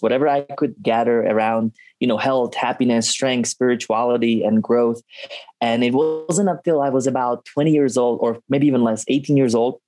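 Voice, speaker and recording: A man from the Czech Republic in his twenties with a Czech accent, a non-native English speaker telling his story as a podcast guest, halting with many fillers. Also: medium pitch, a little mellow. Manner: halting, many fillers and restarts, laughs often. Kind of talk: casual podcast interview.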